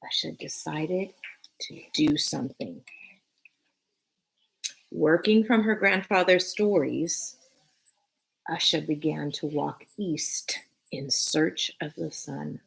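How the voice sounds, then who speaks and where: Low, husky woman reading to children. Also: rough recording. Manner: addresses young listeners, pausing between short phrases. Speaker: teacher reading a picture book aloud. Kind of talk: expressive children's read-aloud with casual asides.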